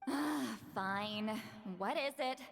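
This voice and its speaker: A woman's voice: a little high, very slow, vocal fry, full character voice. An actress playing a proud, blunt and combative female warrior in a video game, with a brash, challenging way of talking. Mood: challenging, dismissive.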